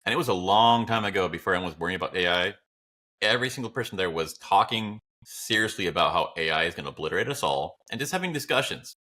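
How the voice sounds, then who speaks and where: A man's voice: deep voice, animated, fast, bright, forceful tone. A man co-hosting a podcast, chatting casually and philosophically in a blunt, rambling, opinionated way. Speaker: podcast co-host.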